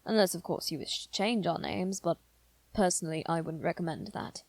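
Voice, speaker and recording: A devoted male demon triplet, played by a woman, speaks softly and formally with a low, creaky voice. The delivery is measured and possessive, made for an intimate ASMR roleplay. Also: no room sound. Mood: gentle, explaining. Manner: soft, measured, deliberate, sometimes near whisper. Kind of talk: scripted ASMR roleplay acting.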